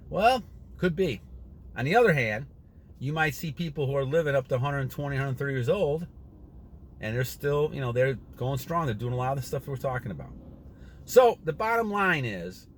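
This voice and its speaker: A man in his sixties giving a casual motivational monologue to his viewers, rambling and earnest, often repeating himself and clearing his throat. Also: a little high, fairly bright tone. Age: 60s. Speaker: channel host.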